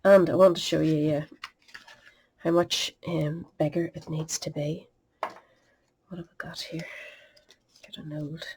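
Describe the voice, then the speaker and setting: A middle-aged woman talks her way through a card-making project in a chatty, homely manner. She sounds a bit sniffly from a cold and often drops into quiet muttered asides, over a faint background hiss. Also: deep voice, flat delivery, slow pace, pauses often. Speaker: craft tutorial host. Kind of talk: step-by-step crafting demonstration.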